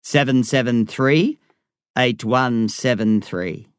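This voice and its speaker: Creepy insistent phone guy, read by a man, slow and dry sounding. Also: mid-range voice, smooth, no echo, natural voice. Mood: ominous. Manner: insistent, ominous. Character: mysterious urgent man on a phone line. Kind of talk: audiobook dialogue.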